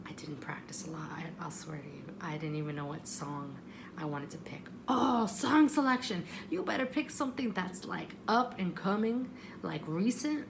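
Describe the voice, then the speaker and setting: A woman's voice: on the low side, expressive, normal speed, creaky. A woman telling a story in a casual, chatty vlog style, animatedly acting out what people said. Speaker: vlogger. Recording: noticeable background noise.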